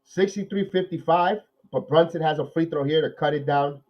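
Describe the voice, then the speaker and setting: A man hosting a live watch-along podcast, nasal from a cold, calling plays excitedly and then talking analysis with many repeats. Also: a little high, normal speed, highs cut off. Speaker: podcast host.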